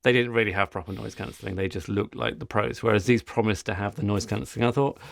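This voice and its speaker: A man's voice: fairly low pitch, fairly even intonation, fast, creaky, no room sound. A man co-hosting a podcast, telling a story in casual, rambling chat with frequent restarts. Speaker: podcast co-host.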